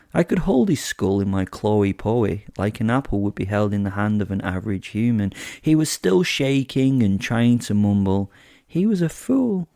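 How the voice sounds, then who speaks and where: Man voicing a low-voiced, gloating werewolf killer. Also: gravelly, warm tone, dry recording, clean studio sound. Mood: sadistic, savouring. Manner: theatrical, savouring, wordy and alliterative. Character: sadistic gloating male werewolf killer telling his hunt. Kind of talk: first-person horror audio drama narration.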